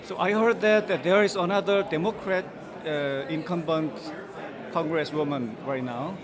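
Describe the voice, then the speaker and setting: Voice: mid-range voice, moderate pace. An interviewer with a Korean accent asking short, polite questions outdoors at an event. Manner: polite, brief questions with steady backchannel murmurs. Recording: busy crowd behind. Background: crowd.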